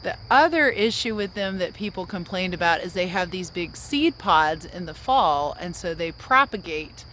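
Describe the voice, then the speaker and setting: A woman's voice: lowish voice, expressive, normal speed, few pauses, a bit bright, slight background noise, low-quality recording. A woman reading and explaining to young viewers as a children's read-aloud teacher and host.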